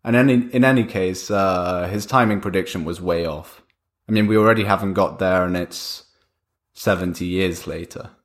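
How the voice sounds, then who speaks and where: A young man with a cold hosts a solo podcast in a lowish voice. He talks loosely and conversationally, thinking aloud with small restarts and fillers as he goes. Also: normal speed. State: has a cold. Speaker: podcast host. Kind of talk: casual solo podcast monologue.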